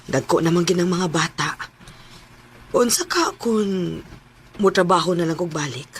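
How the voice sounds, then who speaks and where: Tender Cebuano radio-drama wife, noisy track. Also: female voice, lowish voice, expressive, unhurried. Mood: earnest. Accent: Cebuano Filipino. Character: Martha, tender working wife and mother, quietly torn.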